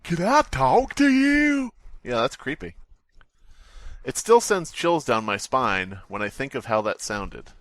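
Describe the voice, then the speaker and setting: A man's voice: medium pitch, very animated, a little slow, a bit bright, no room sound. A man co-hosting a podcast, making rambling, sardonic casual commentary on scary stories. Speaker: podcast co-host.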